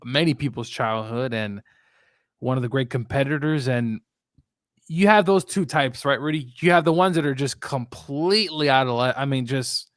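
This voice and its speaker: A man's voice: mid-range voice, moderate pace, dry recording, studio quality. Man in reflective, casual sports talk. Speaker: sports show co-host.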